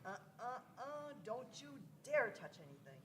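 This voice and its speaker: A woman's voice: medium pitch, very animated, normal speed, natural voice. A woman playing an elderly, grandfatherly male time traveller in a live radio play, fussy and self-assured in an old-fashioned way. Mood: testy.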